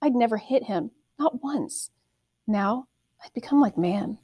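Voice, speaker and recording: A woman narrating a first-person story expressively, with deliberate, dramatic phrasing. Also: fairly high pitch, lively intonation, warm tone, breathy edge, no room sound. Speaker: audiobook reader, teacher reading aloud.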